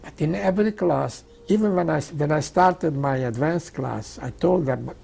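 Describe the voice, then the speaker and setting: An elderly man, a native Hungarian speaker with a Hungarian accent, telling his life story in an emphatic, halting way, often repeating phrases. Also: on the high side, vocal fry, a little mellow, husky. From Czechoslovakia, Hungarian-speaking. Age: 80s or older. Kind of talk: oral history interview, personal storytelling.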